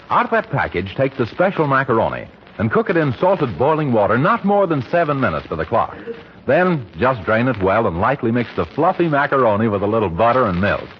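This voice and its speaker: A male announcer from 1940s radio delivers polished, emphatic sales copy. His mid-pitched voice moves up and down in a lively way, on a dull vintage recording with faint noise. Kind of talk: scripted 1940s radio commercial and narration.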